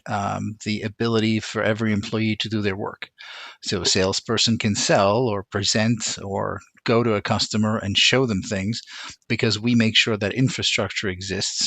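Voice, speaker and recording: A man from Lebanon answering interview questions conversationally, pausing often as he thinks things through in a measured, reflective way. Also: fairly low pitch, a bit bright, no echo. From Lebanon, immigrated to Montreal as a teenager. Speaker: interview guest, corporate aviation operations manager.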